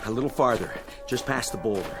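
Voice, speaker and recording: A man's voice: fairly low pitch, animated, a little fast, music in the background, close to an everyday voice, rough recording. An actor playing a cocky, teasing male space explorer in a radio drama, boastfully and eagerly showing off his secret find.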